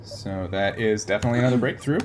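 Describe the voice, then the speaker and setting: A man's voice: on the low side, fast. Man running a tabletop game, noisy background. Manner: informal, thinking aloud. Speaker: game master. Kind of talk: narrating a tabletop role-playing game.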